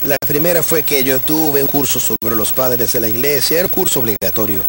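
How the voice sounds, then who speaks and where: A man's voice: mid-range voice, brisk, slight background noise. A man from Texas, a guest in an interview, telling his own faith story in an earnest, reflective way with frequent hesitations. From Texas, USA. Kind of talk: personal faith testimony in conversation.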